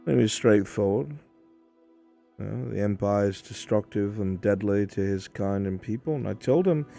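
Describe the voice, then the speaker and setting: A man roleplaying a stern, world-weary fantasy mentor, speaking in a dry, measured, matter-of-fact way. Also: fairly low pitch, creaky, warm tone. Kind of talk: improvised in-character roleplay.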